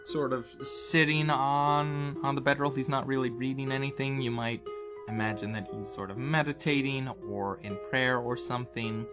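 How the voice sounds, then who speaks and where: A man runs a tabletop game in a mid-pitched voice. He improvises his descriptions conversationally, feeling his way through details with small asides. Guitar music plays clearly behind him. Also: fairly even intonation, moderate pace. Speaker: game master.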